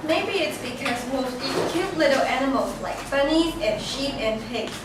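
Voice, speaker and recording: Very high-pitched woman narrating, echoey. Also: lively intonation, moderate pace. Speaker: reader's theater narrator. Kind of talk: scripted storybook narration. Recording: very echoey, distant, noisy room.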